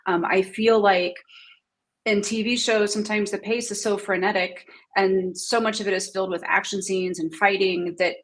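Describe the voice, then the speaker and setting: A woman's voice: medium pitch, fairly even intonation, moderate pace. A woman panelist giving her opinions in a conversational way. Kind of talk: casual opinion in panel discussion.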